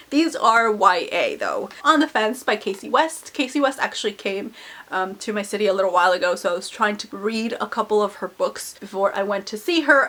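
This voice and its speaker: A woman's voice: medium pitch, a bit bright. Book vlogger lady, kinda nasal from a cold, super chatty and blunt about her reads. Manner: chatty and candid, many "like" fillers, frank opinions. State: recovering from a cold. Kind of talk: casual book review chat.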